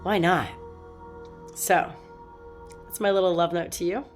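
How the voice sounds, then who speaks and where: Low-voiced woman with a head cold. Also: measured pace, soft background music. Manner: frank, animated, many fillers and restarts. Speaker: vlogger. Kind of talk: casual talk to camera.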